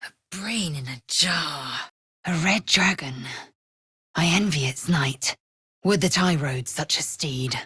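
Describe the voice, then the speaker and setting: A woman voicing a fierce, haughty githyanki warrior in a video game, with a husky rasp and an imperious, clipped, formal way of speaking. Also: low voice, takes it slowly, fairly bright tone, dry recording, studio quality, exaggerated voice. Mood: proud, dry. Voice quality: husky, raspy. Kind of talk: video game character voice lines.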